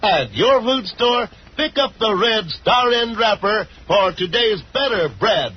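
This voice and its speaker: A man's voice: high voice, expressive, a little slow, slightly rough. An American man announcing in old-time radio style, narrating a serial and reading advertising copy. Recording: muffled, noisy vintage radio recording.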